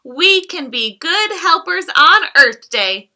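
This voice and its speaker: A woman reading the lines of a cheerful, caring little girl in a gentle, sing-song storybook way. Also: extremely high pitch, sing-song, slow pace, pitched above the performer's own voice, full character voice.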